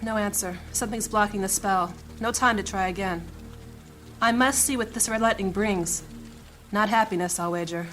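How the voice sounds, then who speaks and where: A woman's voice: medium pitch, moderate pace, fairly bright tone, soft background music, close to an everyday voice. A woman playing a resolute, loyal mage in a fantasy audio drama, with a formal, earnest manner. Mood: weary, wary. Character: resolute, loyal female mage on a dangerous mission.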